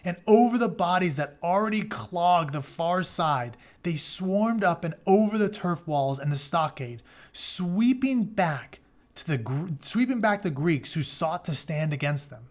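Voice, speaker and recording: A man teaching through a dramatic storybook read-aloud, with animated delivery and casual explanatory asides. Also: sits high, a little slow. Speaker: teacher reading aloud to students.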